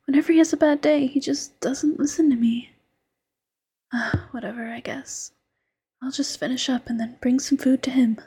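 A woman's voice: sits high, fairly even intonation, moderate pace, breathy edge. A woman whispering as a doting motherly fox girlfriend. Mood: exasperated. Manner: soft, coaxing, teasing baby talk. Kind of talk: whispered ASMR roleplay.

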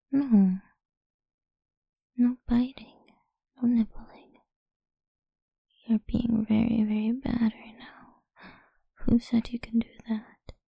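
A woman speaking in a soft, slow, coaxing whisper for a close-mic ASMR roleplay. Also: on the high side, gravelly, husky, dry recording, highs cut off.

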